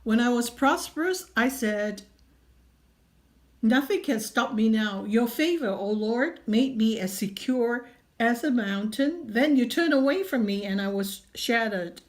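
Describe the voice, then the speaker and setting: A woman reading scripture aloud in a measured, earnest way. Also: fairly high pitch, lively intonation.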